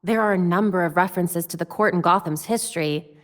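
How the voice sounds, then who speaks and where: A woman's voice: fairly low pitch, fairly quick, dry recording, studio quality, close to an everyday voice. An actress voicing a witty, determined young female vigilante and detective in scripted video game lines, with a wry, earnest delivery. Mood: wry, focused. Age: young adult.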